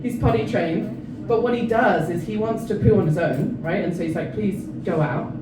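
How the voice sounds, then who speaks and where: A South African-born woman comedian in her thirties tells her set in a chatty, self-mocking way and keeps breaking into laughter. Her voice rings around an echoey club room and sits well back from the mic, with steady noise and room murmur behind her. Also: mid-range voice, moderate pace, far from the mic. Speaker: stand-up comedian.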